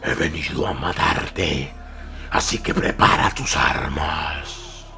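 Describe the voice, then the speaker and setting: A man voicing a savage, menacing warrior of a blood god in an audio drama, with a growling gravelly voice. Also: extremely low pitch, pushed and bright, soft background music, pitched below the performer's own voice, full character voice. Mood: scornful, hostile. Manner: menacing, slow, barking challenges. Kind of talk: audio drama villain acting.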